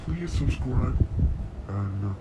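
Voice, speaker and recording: A man voicing a bitter, forgotten cartoon character, halting and self-pitying with a menacing streak. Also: medium pitch, lively intonation. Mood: menacing, unhinged. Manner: halting, sighing, self-pitying with threatening outbursts. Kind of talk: character voice lines. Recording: noisy amateur home recording.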